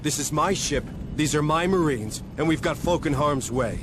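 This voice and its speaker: An actor playing a gruff, stubborn commander of space marines in a video game, giving clipped, commanding briefings with dry sarcasm. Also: male voice, fairly high pitch, normal speed, background noise, natural voice. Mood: stern, defiant. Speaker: military commander. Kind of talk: video game cutscene acting and mission briefing.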